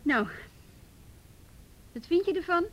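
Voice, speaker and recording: An actress playing a brisk, commanding female police investigator, businesslike and directive in a naturalistic radio drama. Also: extremely high pitch, expressive, fairly bright tone, full character voice.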